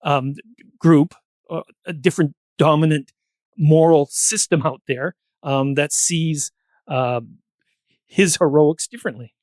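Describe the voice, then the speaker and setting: Higher-pitched man, dry sound. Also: a little slow, darkish tone, dry recording. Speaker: podcast guest. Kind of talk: reflective discussion.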